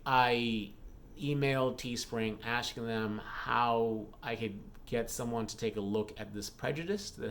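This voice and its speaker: A man speaks in a casual vlog style at an average pitch. He rambles candidly, like a creator updating regular viewers. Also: slight background noise. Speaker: YouTube vlogger and author.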